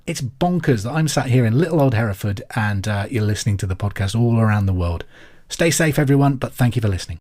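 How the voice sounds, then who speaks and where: A man's voice: fairly low pitch, animated, fast talker, darkish tone. An Englishman presenting a pub quiz podcast in a chatty, conversational way, as the host. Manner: conversational, clearly repeats questions. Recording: music behind the voice. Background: music.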